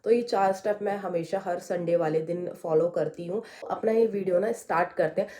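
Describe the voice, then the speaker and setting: Hoarse young woman from India, mellow. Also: fairly low pitch, a little fast. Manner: chatty, explains step by step. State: hoarse, heavy voice from a sore throat. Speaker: beauty vlogger. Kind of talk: casual skincare tutorial chat. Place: indoors at home.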